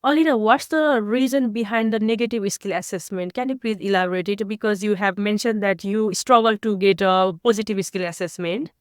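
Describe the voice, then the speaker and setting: A woman's voice: medium pitch, fairly quick, hardly any pauses, no echo, studio quality. A woman hosting a podcast, asking polite, formal interview questions. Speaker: podcast host.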